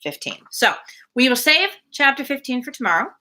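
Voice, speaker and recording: A woman's voice: sits high, sing-song, a little fast, pushed and bright. A woman hosting a live novel reading, speaking informally and thinking aloud with casual asides. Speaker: livestream reader and host.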